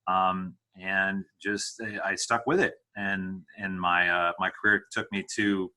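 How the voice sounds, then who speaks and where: Low, flat-voiced Midwestern man. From Midwest United States. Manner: measured, hesitant, with many fillers and restarts. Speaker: executive director of a homeless services charity. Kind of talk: interview answers.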